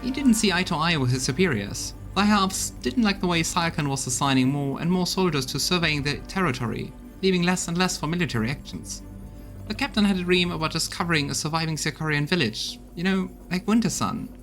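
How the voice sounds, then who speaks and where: A blunt, loyal soldier of a fantasy crusade, acted out by a man reading game dialogue, reports in folksy, gossipy terms. The voice sits a little high, with faint music behind it. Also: animated, a little fast, fairly bright tone. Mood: gossipy, exasperated. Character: rough-tongued loyal crusade soldier reporting bad news.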